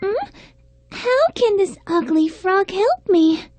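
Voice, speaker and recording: Haughty young storybook princess, very high girlish pitch, very slow exaggerated lines, dry sound. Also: sing-song, a little mellow, soft background music, full character voice. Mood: tearful, doubtful. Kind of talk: children's fairy-tale audio acting.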